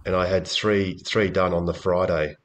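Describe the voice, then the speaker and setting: A man with a low-pitched voice speaks casually as a panel host. He explains things in a practical, technical manner, as part of an informal group chat. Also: fairly even intonation, normal speed.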